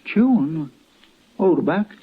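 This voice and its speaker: Anxious, folksy deputy, swooping pitch. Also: male voice, expressive, a little slow, breathy edge. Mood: nervous. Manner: hesitant, deferential, folksy. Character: anxious, folksy, loyal deputy sidekick in a western. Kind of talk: old-time radio western acting. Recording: tinny, noisy old radio recording.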